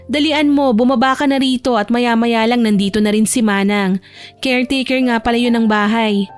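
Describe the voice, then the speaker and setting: A bossy big-sister type voiced by a female narrator nags playfully in a high voice. She teases as she goes, in audio drama style, with music underneath. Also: steady tone, moderate pace, natural voice. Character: bossy, teasing older female cousin. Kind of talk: Tagalog romance audio drama acting.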